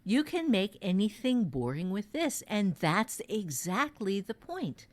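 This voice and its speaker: A woman teaching fiction writing in a casual podcast conversation, speaking deliberately and repeating phrases for emphasis. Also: mid-range voice, very animated.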